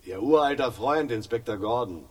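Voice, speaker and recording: A man's voice: medium pitch, expressive, moderate pace, darkish tone, slight background noise, close to an everyday voice. An actor playing a grimly ironic police inspector in a radio crime drama, mock-jovial and hesitant, repeating himself as he needles. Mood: mock-friendly. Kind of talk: 1960s German radio crime drama acting.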